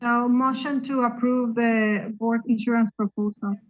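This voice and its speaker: A woman chairing a board meeting, talking informally with many pauses and restarts. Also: fairly high pitch, steady tone, muffled recording, background noise. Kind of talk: casual meeting talk.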